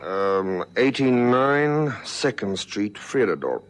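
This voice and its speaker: An actor playing a dogged, sceptical police lieutenant with a South African accent and a brisk, dry, questioning manner in a vintage radio drama. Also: male voice, fairly low pitch, big pitch swings, music in the background. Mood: brisk, businesslike.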